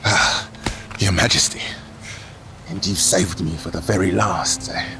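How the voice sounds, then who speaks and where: An actor playing a cheeky, defiant rebel blacksmith in a fantasy audio drama, with a wry, flippant manner. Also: male voice, sits low, steady tone, a little slow, background noise. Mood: wry bravado.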